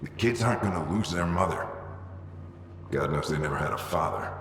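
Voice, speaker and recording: A man's voice: low voice, lively intonation, brisk, fairly bright tone, soft background music. A man playing a stubborn, driven ex-soldier father in a video game, speaking tersely. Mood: stubborn, insistent. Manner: terse, insistent. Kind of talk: scripted video game drama. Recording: roomy hall echo, faint noise.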